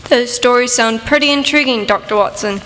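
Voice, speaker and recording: A woman's voice: a little high, brisk, fairly bright tone, a little hiss or hum, lightly acted. A woman playing a polite, keen radio show announcer in old-time style, recorded in an echoey hall. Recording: echoey hall, music behind.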